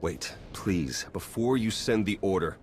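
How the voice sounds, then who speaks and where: An actor playing a wry, weary secret agent in a game, with a sardonic, casual delivery. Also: male voice, low-pitched, lively intonation, a little slow, a little hiss or hum. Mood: pleading. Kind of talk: video game cutscene acting.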